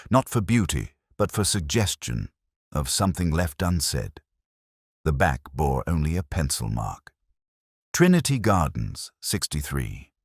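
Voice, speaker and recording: A man narrating a first-person detective story in a measured, brooding, literary way. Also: extremely low pitch, no echo, studio quality.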